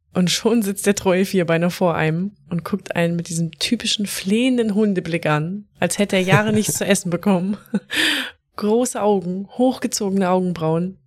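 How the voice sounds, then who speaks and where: A woman co-hosting a casual podcast, telling stories in a chatty way and laughing often. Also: fairly low pitch. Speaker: podcast co-host.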